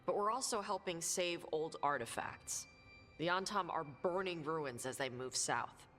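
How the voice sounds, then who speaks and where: A woman playing a blunt, laconic young dragon hunter and treasure seeker in a video game, talking gruffly and informally. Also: low-pitched, unhurried, a bit bright. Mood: earnest, plain. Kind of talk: video game dialogue acting. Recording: music under the dialogue. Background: game music.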